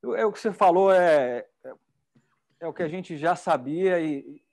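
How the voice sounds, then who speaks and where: A man's voice: fairly high pitch, animated, normal speed, gravelly, darkish tone. A middle-aged man with a Brazilian Portuguese accent, hosting an event in a chatty, enthusiastic way on a lapel mic. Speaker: event host. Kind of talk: casual hosting chat.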